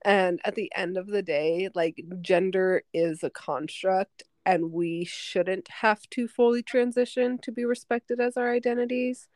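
A woman in her twenties, a podcast guest in casual chat, who speaks calmly and fills her speech with "like". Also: mid-range voice, a little slow, hardly any pauses, no room sound, studio quality. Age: 20s.

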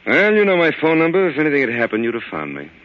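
An actor playing a cocky, evasive young mob lawyer in an old-time radio drama, brisk and defensive. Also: male voice, fairly high pitch, huge pitch swings. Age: young adult. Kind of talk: 1940s radio drama acting. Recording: dull lo-fi old radio recording.

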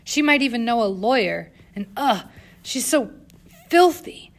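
A woman's voice: mid-range voice, moderate pace, gravelly, a bit bright. A woman voicing a fussy, disdainful older female governess in a storybook reading, prim and scandalized.

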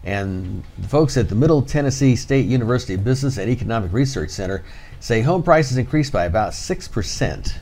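A man's voice: medium pitch, brisk. A man presenting the news segment on a morning show, chatty and telling stories between headlines. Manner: lively, chatty storytelling. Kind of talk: casual news chat.

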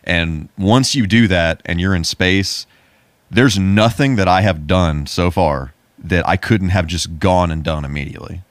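Deep-voiced man chatting casually, dry recording. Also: unhurried, no room sound. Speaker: podcast co-host. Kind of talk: casual chat about video games.

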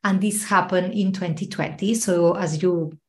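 A woman's voice: lowish voice, fairly even intonation, a little slow. A woman from Spain, a podcast guest telling a personal story, laughing often as she talks. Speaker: guest, travel coach. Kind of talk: podcast interview.

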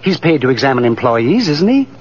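A man's voice: medium pitch, sing-song, fairly quick, natural voice. Man playing a fussy, swooping store manager. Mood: fussy, excitable. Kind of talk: 1940s radio acting. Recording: muffled, hissy old radio recording.

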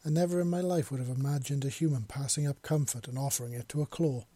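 A man's voice: mid-range voice, steady tone, fast, mellow, breathy edge, dry recording, close to an everyday voice. A man voices a big clawed alien male in a storybook. He is a playful, teasing brother type with a drawling delivery. The sound is dry and close.

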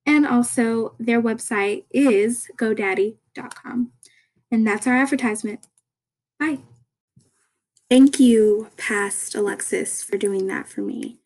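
Raspy just-woken young woman chatting. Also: on the high side, slow pace, a little mellow. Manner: rambling, chatty, many fillers. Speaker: podcast host. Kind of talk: casual podcast chat.

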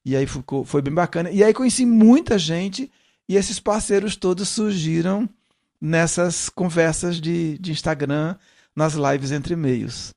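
Middle-aged male interview guest, Brazilian Northeast accent mellowed by São Paulo, fairly high register, repeats words while explaining. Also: measured pace, a little mellow. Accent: Northeastern Brazilian, softened by São Paulo. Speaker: guest, musician. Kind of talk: casual interview conversation.

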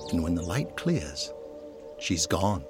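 A man's voice: low-pitched, very animated, takes it slowly, music in the background. A man reading scripted dramatic narration for an audio drama.

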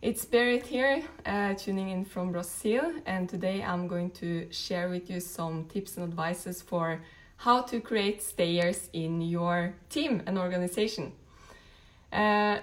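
A young woman talks loosely to her live-stream viewers. She leans on fillers and restarts often, and her pitch sits in an ordinary middle range for a woman. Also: takes it slowly. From Norway. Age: late 20s. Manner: chatty, rambling, frequent fillers and restarts. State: a bit tired, just woken up. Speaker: network marketing team leader. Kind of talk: casual live-stream talk to an audience.

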